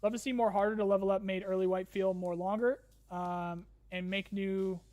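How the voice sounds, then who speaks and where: A man's voice: high voice, level tone, normal speed, a little mellow, dull audio. A man streaming a casual chat, reading viewer questions aloud and answering loosely with fillers, a little tired and his voice getting strained.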